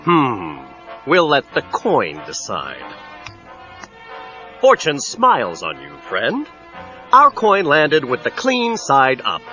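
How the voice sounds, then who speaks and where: An actor playing a menacing, split-minded crime boss with mock-friendly manners in a 1990s audio drama. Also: male voice, medium pitch, huge pitch swings, slow pace, long pauses, bright, forceful tone, full character voice. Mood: mocking menace. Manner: speaks of himself as "we", mock-friendly. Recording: dull cassette audio, faint music behind.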